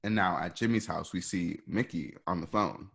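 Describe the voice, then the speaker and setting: Male narrator, lowish voice, informal and halting, setting scenes for a radio play. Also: vocal fry, fairly bright tone, breathy edge. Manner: halting, improvised phrasing. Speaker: narrator reading stage directions.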